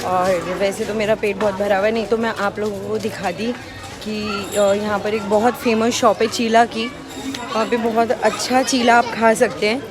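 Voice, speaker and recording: A woman's voice: mid-range voice, little pitch movement, normal speed, over music, crowd noise. A young woman travel vlogger talking casually to her phone camera, slipping English phrases into her Hindi. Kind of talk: casual vlog chat to camera.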